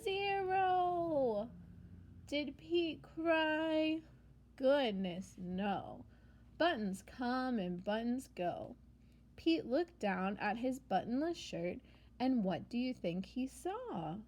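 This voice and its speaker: Woman, preschool read-aloud, high voice, very slow pace, exaggerated sing-song phrasing, short simple questions. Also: lively intonation, darkish tone. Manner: simple short phrases with deliberate pauses for answers; sing-song, exaggerated delivery for young children. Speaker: preschool teacher. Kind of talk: children's picture book read-aloud with questions.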